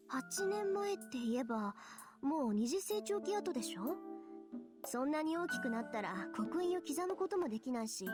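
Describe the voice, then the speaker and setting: A woman voicing a proud, blunt teenage schoolgirl mage in a visual novel, brisk and matter-of-fact. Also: sits high. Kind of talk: Japanese visual novel voice acting. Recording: game voice with loud music behind.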